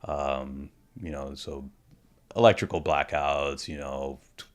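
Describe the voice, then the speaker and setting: A man in his thirties with a strikingly deep voice, born in the Dominican Republic, answers interview questions. He speaks in a relaxed, reflective way, often stopping to restart his sentences and working in "you know" as he goes. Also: takes it slowly. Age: 30s. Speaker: dentist, podcast guest. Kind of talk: candid conversational interview.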